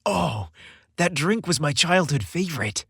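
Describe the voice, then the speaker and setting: A man's voice: fairly high pitch, lively intonation, a little slow, gravelly, a bit bright, slightly rough, dry recording, studio quality, voice pushed up, exaggerated voice. A man voicing an earnest, proud young researcher in a storybook romance, wholehearted in manner. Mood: delighted.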